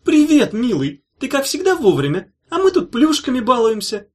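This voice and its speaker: A man's voice: very high-pitched, wildly swooping pitch, normal speed, voice pushed up, full character voice. A man voicing a stubborn mocking witch woman. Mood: sweetly scornful.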